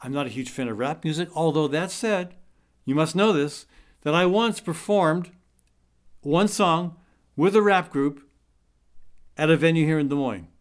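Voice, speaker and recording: Male radio host, slightly high voice, casual rambling commentary. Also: unhurried, pauses often. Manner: rambling, with frequent fillers and restarts. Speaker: radio talk show host.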